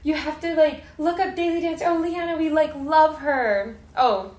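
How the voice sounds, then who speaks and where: A woman's voice: sits high, moderate pace, a little mellow. A thirty-year-old woman hosting a podcast, chatting in an effusive, informal and slangy way. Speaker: podcast host. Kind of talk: casual interview chat. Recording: roomy, echoey sound.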